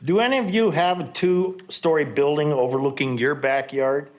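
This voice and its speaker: A man speaking loudly and indignantly during public comment at a council meeting. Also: a little high, moderate pace. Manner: loud, indignant.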